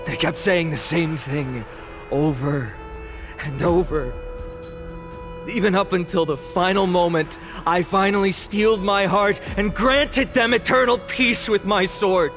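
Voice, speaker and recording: A young, high-pitched orator from a fantasy game, haunted by those he has lost. He speaks slowly and earnestly, with theatrical emphasis and pointed rhetorical questions, over prominent music. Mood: grieving, solemn. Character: impassioned young male orator haunted by lost loved ones. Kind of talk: dramatic speech in a fantasy video game.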